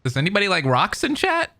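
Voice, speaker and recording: A man's voice: high-pitched, wildly swooping pitch, brisk, background noise. A man giving casual, amused reaction commentary on a video game in informal interjections. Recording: game music behind the voice.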